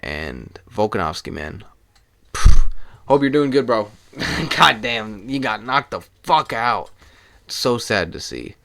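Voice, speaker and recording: A young man hosting his own solo podcast, talking casually and with swearing while hoarse and losing his voice. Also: fairly low pitch, lively intonation, a bit bright, dry recording. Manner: casual and profane, talks fast in bursts. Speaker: podcast host.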